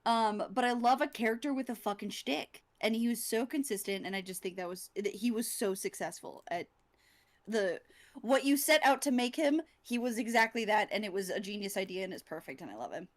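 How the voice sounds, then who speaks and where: A woman's voice: medium pitch, lively intonation, a little fast. A woman who acts in audio drama, chatting informally with enthusiasm and some swearing. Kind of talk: casual chat, gushing fan-like praise.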